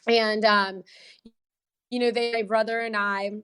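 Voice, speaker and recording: A woman's voice: fairly high pitch, flat delivery, measured pace, a bit bright, smooth. A woman from the United States whose first language is Portuguese, telling her story as a podcast guest in an upbeat, chatty way. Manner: upbeat, chatty, laughs between points. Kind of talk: casual interview storytelling. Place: in Brazil, remote.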